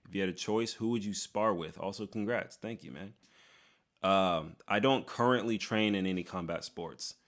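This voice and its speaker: Joking young man with a lowish voice. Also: a bit bright. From Texas, USA. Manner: quick, joking, sarcastic asides. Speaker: YouTuber host. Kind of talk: casual Q&A reading comments.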